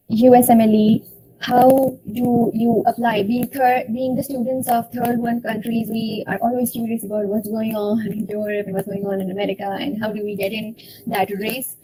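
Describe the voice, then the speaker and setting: A young woman hosting an online student session, speaking slowly and formally as she welcomes guests. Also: a little high, mellow, slight background noise, rough recording. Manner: slow, deliberate, formal phrasing. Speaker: event host for a student association.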